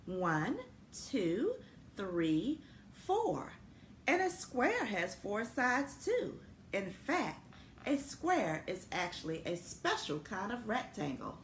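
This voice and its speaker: Woman as an encouraging, animated kindergarten teacher. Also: medium pitch, slow pace, exaggerated voice. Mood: instructive. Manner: clear, instructive, encouraging. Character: encouraging kindergarten teacher explaining things simply. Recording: echoey room with noticeable background noise.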